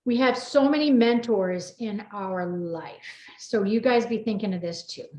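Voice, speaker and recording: A woman's voice: medium pitch, unhurried, mellow. A woman hosting a casual group chat, encouraging and affirming as she moves between guests. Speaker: host moderating a group chat. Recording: echoey room, muffled dull sound.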